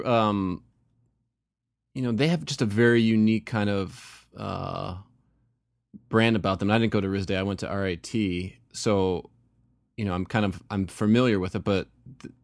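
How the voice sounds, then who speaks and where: A man's voice: on the low side, no echo. Dry-sounding male podcast host. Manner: curious, halting, with many fillers. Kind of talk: casual interview.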